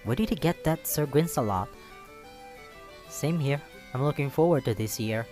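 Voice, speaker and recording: A man's voice: mid-range voice, expressive, normal speed, a little mellow. A man doing a casual visual novel let's play, reading aloud and reacting with cheeky, playful asides and often repeating himself. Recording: music playing behind the voice. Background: music.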